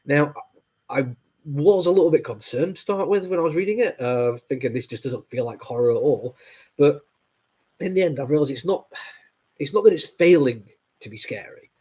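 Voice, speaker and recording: A man's voice: a little high, lively intonation, normal speed, mellow, slightly rough. A man with a cold and a stuffy, nasal voice, reviewing comics casually with lots of fillers and apologies. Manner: rambling, with many fillers and apologies. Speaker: comic book reviewer and host.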